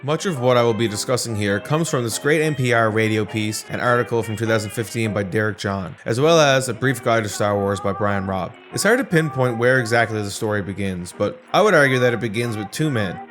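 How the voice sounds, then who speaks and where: A man's voice: lowish voice, brisk, a bit bright, soft background music. A man narrating a scripted video essay.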